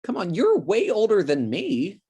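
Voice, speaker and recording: A woman playing a blunt, snarky sibling with a husky voice in a comedy table read. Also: medium pitch, wildly swooping pitch, a little slow, warm tone, heavily acted. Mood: wounded, teasing. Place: remote video call read.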